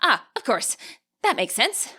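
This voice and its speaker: A woman's voice: fairly high pitch, big pitch swings, measured pace, bright, forceful tone, husky, exaggerated voice. A woman voicing an eager, friendly teenage girl battler in an audiobook, perky, curious and earnest.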